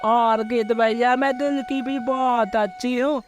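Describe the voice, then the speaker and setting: A man's voice: very high voice, steady tone, measured pace, highs cut off, voice pushed up. A man voicing a sweet, chatty, friendly little female bird in a children's cartoon moral story. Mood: cheerful, chatty.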